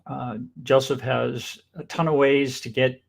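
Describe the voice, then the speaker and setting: A man's voice: medium pitch, steady tone, measured pace, vocal fry. An upbeat male talk show host with a mid-range voice. He welcomes and questions his guest in a friendly way, often with enthusiastic interjections. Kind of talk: casual interview hosting.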